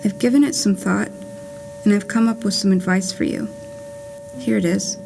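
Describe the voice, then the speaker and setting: A woman reading a scripted poetic monologue for a puppet play as a wry, whimsical letter writer from beyond the grave, in a measured, deliberate way. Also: mid-range voice, steady tone, gravelly, dark tone, lightly acted, poor audio. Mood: wry, wistful. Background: music.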